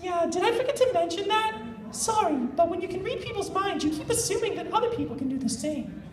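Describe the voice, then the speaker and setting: Girl reading as bossy teasing psychic cat critter, super high voice, echoey room, far from mic. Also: lively intonation, brisk. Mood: casual, weary. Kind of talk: live dramatic fan fiction reading. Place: convention panel room.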